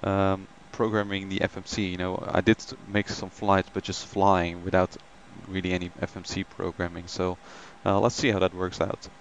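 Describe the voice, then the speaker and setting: Male streamer, lowish voice, chatty and loose with fillers, dull lo-fi mic. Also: flat delivery, moderate pace, hardly any pauses, a little hiss or hum. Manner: chatty, greets viewers by name, many ums. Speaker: flight sim live streamer. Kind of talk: casual live stream chat.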